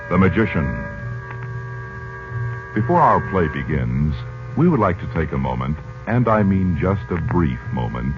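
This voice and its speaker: A man announcing and narrating in theatrical, salesmanlike 1940s radio style. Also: sits low. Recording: muffled vintage radio recording.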